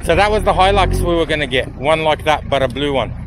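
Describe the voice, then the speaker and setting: A man's voice: a little high, expressive, quick pace. A man filming an offroad trip, chatting and joking with other drivers on an outdoor bush track. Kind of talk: casual chat. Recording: loud engine noise outdoors.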